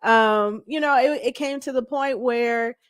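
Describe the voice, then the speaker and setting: A woman's voice: a little high, a little slow, clear, resonant tone, no room sound, studio quality. A woman in her forties speaking as an interview guest, casual and candid in conversation. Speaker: talk show guest.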